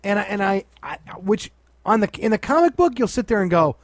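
A man's voice: high-pitched, sing-song, brisk, no room sound. A man co-hosting a casual movie discussion, blunt and opinionated, with a choppy, sweary delivery. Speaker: podcast co-host and film critic.

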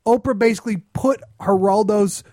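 A man's voice: high voice, normal speed, warm tone, dry recording, clean studio sound. A man co-hosting a comedic radio talk show, ranting with profane sarcasm and mocking imitations.

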